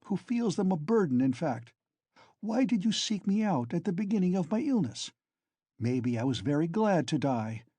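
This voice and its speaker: A feverish, bitter young man, as a male narrator puts him on, his voice pitched up and swinging widely as he taunts. The tone stays mellow and a little husky, close and dry, with a storybook reading's restraint. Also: dry recording. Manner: mocking, then pleading in a frenzy.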